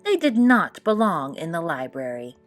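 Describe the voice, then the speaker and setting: A woman with a fairly low voice reads a children's picture book aloud. Her narration is expressive, made for storytime. Faint music plays behind her. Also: moderate pace. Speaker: storytime read-aloud host.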